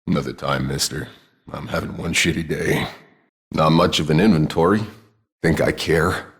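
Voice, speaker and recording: Game mercenary: extremely low, husky male voice, world-weary and sardonic, heard with long reverb. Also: fairly even intonation, normal speed, exaggerated voice. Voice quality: gravelly, husky. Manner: sardonic, gruff, laconic. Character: weary, cynical, foul-mouthed male sci-fi soldier mercenary. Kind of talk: video game voice acting.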